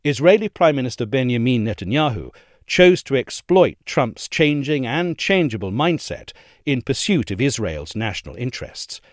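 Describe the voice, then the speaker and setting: A man reading an essay aloud as a narrator, in a measured, articulate and formal delivery. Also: mid-range voice, sing-song, dry recording, studio quality.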